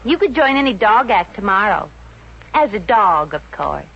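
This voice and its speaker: A woman's voice: medium pitch, very animated, moderate pace, vocal fry, breathy edge, full character voice. An actress playing a wry, slangy, good-hearted young American showgirl secretary in a 1950s radio comedy. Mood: sassy. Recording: muffled, noisy 1950s radio recording.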